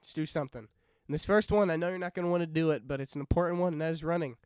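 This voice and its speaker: A man's voice: on the high side, racing, a little mellow, clear, resonant tone, no echo. Young male podcast co-host, stuffy nose, light voice, rambling casual chatter, dry close mic. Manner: laughs often, rambling. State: congested, runny nose. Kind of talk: casual chat.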